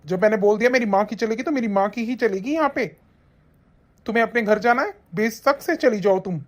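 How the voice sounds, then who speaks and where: A woman's voice: on the high side, fairly quick, close to an everyday voice. A woman playing an angry, domineering young husband and devoted son, bossy and accusing in a family melodrama. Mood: stern, dismissive. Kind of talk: domestic family melodrama acting. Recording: dull, muffled lo-fi sound.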